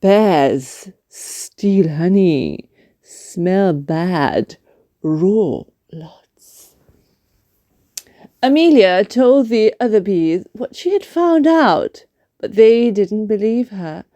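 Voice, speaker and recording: A woman narrates a children's picture book with great expression. Her reading is very slow and deliberate, her pitch animated, and her tone soft and mellow. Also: dry recording. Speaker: storyteller.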